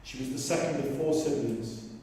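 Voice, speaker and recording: A man's voice: mid-range voice, moderate pace, dark tone. A man reading a prepared personal testimony as an invited speaker at a service. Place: inside a large church. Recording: very echoey church, distant mic.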